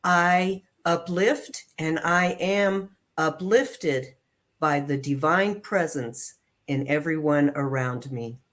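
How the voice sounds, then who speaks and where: A woman reading affirmations and inspirational text aloud in a measured, deliberate way, phrase by phrase. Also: sits low. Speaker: prayer practitioner and reader.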